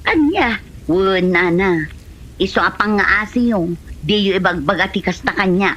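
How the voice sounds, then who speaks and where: A woman's voice: medium pitch, animated, a little slow, a bit bright, lo-fi sound. A woman with a Filipino accent playing a stubbornly single, exasperated village farm woman in a comedy drama. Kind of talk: comedy radio-style drama acting.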